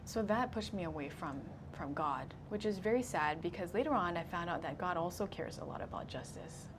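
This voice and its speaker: A woman in her thirties who grew up in Singapore, sharing her personal faith testimony in an earnest, measured way. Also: fairly low pitch, noisy background. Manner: earnest, measured, laughs easily. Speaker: guest.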